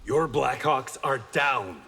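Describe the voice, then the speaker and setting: A man's voice: medium pitch, expressive, slow pace, creaky, pushed and bright, husky, big-room sound, exaggerated voice. An actor playing a stoic blind swordsman hero in a video game, with dry, confident wit. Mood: wry.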